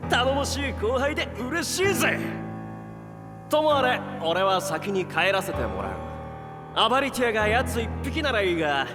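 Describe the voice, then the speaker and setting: A man playing a breezy, rough-talking adventurer mentor in an anime game, brash, casual and teasing. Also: sits high. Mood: upbeat, cocky. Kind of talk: Japanese anime game voice acting. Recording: long reverb, music underneath.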